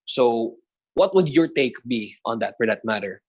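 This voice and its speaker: A man hosting a live online panel discussion, earnest and measured, asking long questions. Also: medium pitch, lively intonation, darkish tone, breathy edge. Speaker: host.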